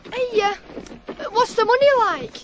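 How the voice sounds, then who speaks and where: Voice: very high voice, measured pace, very noisy. A performer playing a resentful, stubborn teenage runaway boy with a Northern English accent, blunt and hot-headed in a radio drama. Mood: wary.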